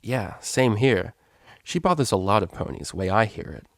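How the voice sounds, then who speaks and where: A man's voice: lowish voice, expressive, creaky, a bit bright, dry recording, clean studio sound. A man voicing a brash, scheming young unicorn mare with a slangy, pushy way of talking in a storybook reading. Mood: sly. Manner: slangy, pushy, hesitant pauses.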